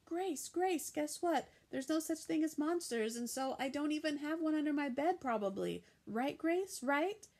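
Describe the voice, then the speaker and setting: A woman voicing an excitable, bossy little girl of about six, shouting in short breathless bursts as an audiobook character. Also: high voice, normal speed, hardly any pauses, pitched above the performer's own voice. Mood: excited, frantic.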